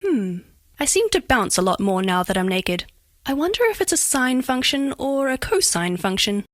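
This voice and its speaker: High-voiced, curious alien diamond girl. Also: very animated, normal speed, a bit bright, dry recording, clean studio sound. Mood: curious, coy.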